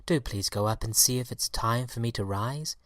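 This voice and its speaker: A man's voice: mid-range voice, moderate pace, a bit bright, no room sound, studio quality, lightly acted. A man voicing a restless, eager little daffodil baby girl in a children's story, polite and childlike in manner.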